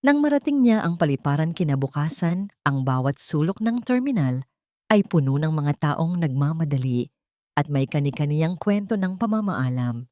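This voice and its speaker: A woman narrating a dramatic audio story in a measured, steady way. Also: low voice, no room sound, clean studio sound.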